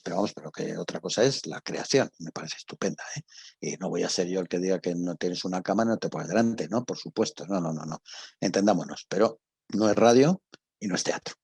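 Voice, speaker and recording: An older man with an accent from Spain, giving an informal talk and answering questions, halting and emphatic, often repeating himself, with a husky voice. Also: low-pitched, darkish tone, no room sound. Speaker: radio drama professor and guest speaker.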